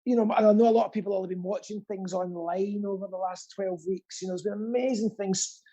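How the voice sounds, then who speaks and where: A man's voice: high-pitched, fairly even intonation, brisk, dark tone, muffled recording. A man chatting casually as a guest, rambling and earnest, with a self-deprecating streak. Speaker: guest, pantomime actor and theatre stagehand. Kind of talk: casual chat.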